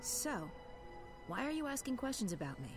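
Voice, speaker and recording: A woman's voice: fairly high pitch, big pitch swings, normal speed. A woman playing a jaded young resistance leader in a video game, curt, guarded and commanding. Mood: suspicious. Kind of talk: video game cutscene acting. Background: background music.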